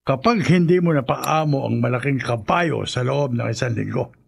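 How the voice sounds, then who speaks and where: A man's voice: medium pitch, moderate pace, creaky, husky. A man playing a wealthy, domineering older hacienda owner and doting grandfather, with a Filipino accent, in a radio drama. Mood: stern, threatening.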